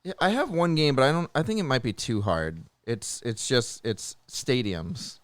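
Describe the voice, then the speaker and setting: A man with a smooth, clear voice banters casually on a podcast. His pitch is average for a man and the sound is dry. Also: expressive, normal speed, no echo. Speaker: podcast host.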